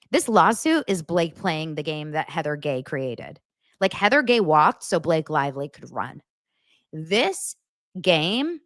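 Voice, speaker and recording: Animated gossipy woman host. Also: deep voice, no echo, clean studio sound. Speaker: host of a daily pop culture show. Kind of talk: casual chat to camera.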